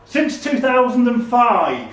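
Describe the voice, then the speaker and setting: A man's voice: extremely high pitch, big pitch swings, takes it slowly, fairly bright tone. A man acting as quizmaster, reading out questions and ruling on answers at a live quiz. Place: echoey indoor room at a live quiz event. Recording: distant mic in echoey room, background noise.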